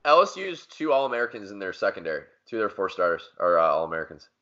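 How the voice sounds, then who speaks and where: Man, bright forceful voice, emphatic sports debater, podcast co-host, dull lo-fi sound. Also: low-pitched, very animated, quick pace. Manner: emphatic, argumentative, repeats points for force.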